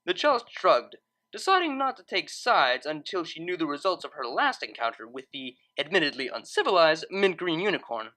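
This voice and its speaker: A woman reading scripted fiction as narrator in an expressive storytelling manner. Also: low voice, moderate pace.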